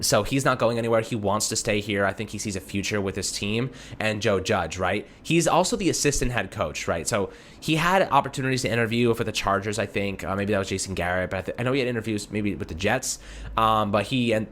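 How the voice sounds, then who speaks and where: Fast-talking low-voiced man hosting sports talk. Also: fairly bright tone, a little hiss or hum. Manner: informal, conversational, addresses callers as friends. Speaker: sports show host. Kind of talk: casual fan commentary answering voicemails.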